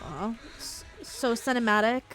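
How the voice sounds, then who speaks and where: A woman's voice: a little high, expressive, measured pace, fairly bright tone. A young adult woman reacting casually to a video game as a live streamer. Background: game music.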